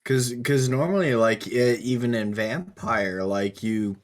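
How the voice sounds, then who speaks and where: A man co-hosting a podcast in casual discussion, speaking in a halting way. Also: medium pitch. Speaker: podcast co-host.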